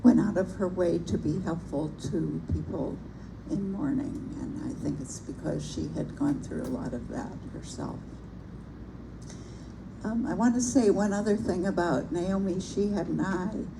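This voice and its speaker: Soft-spoken older woman, gravelly, slow. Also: lowish voice. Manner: soft-spoken, gentle and reflective. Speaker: poetry reader at a public reading. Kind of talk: reciting a poem with personal remarks. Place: bookstore event room. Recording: noisy live event recording.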